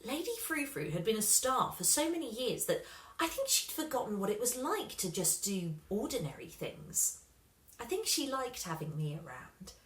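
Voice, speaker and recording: Animated bright-voiced woman reading stories. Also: a little high, normal speed, reverberant. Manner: animated, dramatic emphasis. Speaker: storybook reader. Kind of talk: expressive children's story narration.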